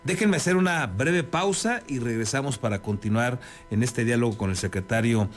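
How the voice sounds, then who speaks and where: A man's voice: medium pitch, moderate pace, faint music behind. A man with a Mexican accent hosting a news interview in a conversational way. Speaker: news program host, interviewer.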